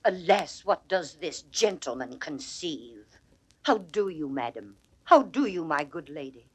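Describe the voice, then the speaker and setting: A woman's voice: deep voice, big pitch swings, measured pace, slightly rough, heavily acted. A fierce, swooping loyal waiting-woman. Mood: anxious concern. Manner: declamatory, emphatic. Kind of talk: classical Shakespearean stage acting on record.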